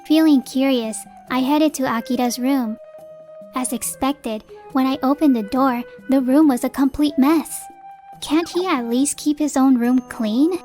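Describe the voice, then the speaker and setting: A woman's voice: high-pitched, a little mellow. An actress playing a determined, exasperated young working wife and mother, narrating and speaking dialogue in a manga dub. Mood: exasperated narration. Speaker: narrator and lead character.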